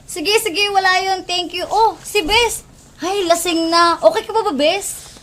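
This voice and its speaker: Woman as a very high-voiced, caring young friend. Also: a little slow, fairly bright tone. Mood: concerned. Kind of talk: amateur radio drama acting.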